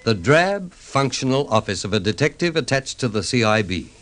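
A man's voice: medium pitch, sing-song, moderate pace. A male announcer reading a formal scene-setting introduction for a radio drama. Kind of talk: scripted scene-setting narration.

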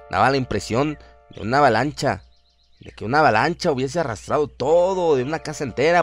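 A man narrating a horror story in a dramatic, scripted storytelling style. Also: mid-range voice. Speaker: narrator of horror audio stories.

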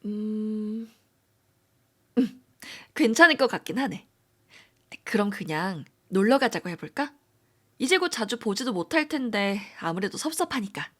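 Woman voicing a friendly senior coworker, hesitant, slow-paced, audio drama. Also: medium pitch, animated. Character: gentle young woman senior colleague leaving her job. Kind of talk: amateur audio drama acting.

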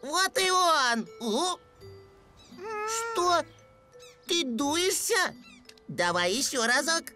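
A squeaky fussy green sand fairy. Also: female voice, very animated, drawn-out words, pauses often, exaggerated voice. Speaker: voice actor in animated series dub. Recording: studio voice with loud music behind.

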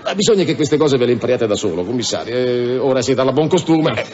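A man's voice: mid-range voice, quick pace, natural voice. An actor in a radio drama playing a chatty, jovial, deferential policeman with a colloquial way of talking. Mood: chatty, amused. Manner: animated, colloquial, repetitive. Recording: dull radio drama recording.

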